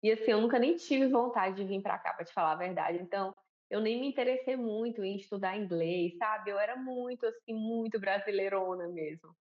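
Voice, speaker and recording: A woman's voice: medium pitch, fairly quick, muffled recording. A woman from Alagoas with a Northeastern Brazilian accent, a guest in a TV interview, talking in a chatty, conversational way with many tag questions. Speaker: guest, missionary and pastor's wife.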